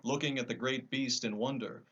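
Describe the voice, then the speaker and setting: A man's voice: mid-range voice, steady tone, moderate pace, a bit bright, dull audio, slight background noise. A man narrating a children's storybook as a storyteller.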